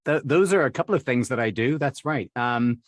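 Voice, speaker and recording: A man's voice: medium pitch, expressive, fairly quick, no echo, studio quality. A middle-aged man with an English accent, a dialect coach telling his story as a guest in a casual interview, rambling with lots of fillers and small laughs. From England.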